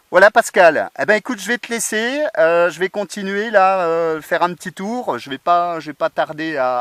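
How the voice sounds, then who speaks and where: Higher-pitched Frenchman chatting on amateur radio. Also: a bit bright, dry recording. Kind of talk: casual radio chat. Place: outdoors in a field under a tree. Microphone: portable radio transceiver microphone.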